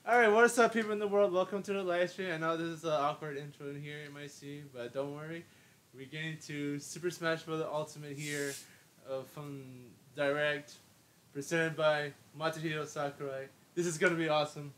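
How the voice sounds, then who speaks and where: A man hosting a casual live stream, talking in halting bursts and repeating his words. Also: on the high side, level tone, fairly bright tone.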